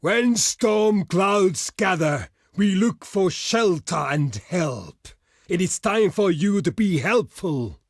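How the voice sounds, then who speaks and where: A man's voice: sits high, expressive, slow pace, dry recording, clean studio sound, full character voice. A man playing a proud, blunt barbarian envoy in a video game, speaking in solemn, formal, theatrical oratory. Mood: demanding, scornful. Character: proud, grave male barbarian envoy, blunt and ale-loving. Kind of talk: video game character voice lines, theatrical declamation.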